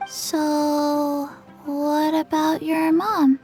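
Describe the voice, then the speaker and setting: A small undead girl from a video game. The voice is high and childlike, extremely slow and deadpan, in short halting phrases with faint music behind. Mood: flat, earnest.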